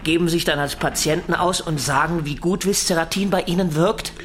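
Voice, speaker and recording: Actor as contemptuous interrogator, somewhat high-pitched. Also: male voice, fairly quick, a bit bright, faint music behind, natural voice. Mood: probing. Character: contemptuous, controlled male interrogator confronting an executive. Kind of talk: German radio play acting.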